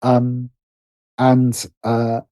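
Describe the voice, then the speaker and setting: A man with a very mellow, dark-toned voice talks in a casual interview. He feels his way through sentences, doubling back and rephrasing. The sound is dry, with little room around it. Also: medium pitch, completely flat delivery, drawn-out words, no echo. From United Kingdom. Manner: hesitant, repeats and rephrases phrases as he thinks. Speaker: guest, writer and producer.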